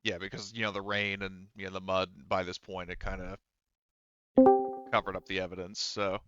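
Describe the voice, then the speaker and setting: A man's voice: sits low, flat delivery, moderate pace, highs cut off. A man narrating a tabletop game as game master in a conversational, explanatory way.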